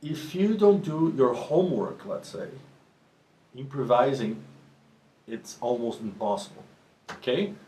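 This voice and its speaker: A man whose first language is Portuguese, talking about drumming as a teacher in a relaxed, conversational way with frequent restarts. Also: mid-range voice, unhurried, long pauses. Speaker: drum teacher. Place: drum studio. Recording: echoey room with faint ambient noise.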